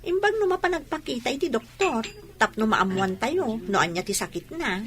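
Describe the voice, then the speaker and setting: A woman's voice: fairly high pitch, lively intonation, moderate pace, fairly bright tone, soft background music. A woman acting a questioning, coaxing young woman in love in a radio drama, with a Filipino accent.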